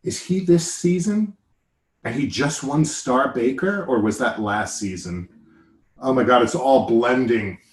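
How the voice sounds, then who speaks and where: An American man talks in a loose, joking way, wandering off track and correcting himself as he goes. His voice sits at an ordinary middle pitch. The room around him gives it a noticeable echo. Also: expressive, unhurried, slight background noise. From United States. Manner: joking, rambling, self-interrupting. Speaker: podcast host. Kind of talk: casual chat.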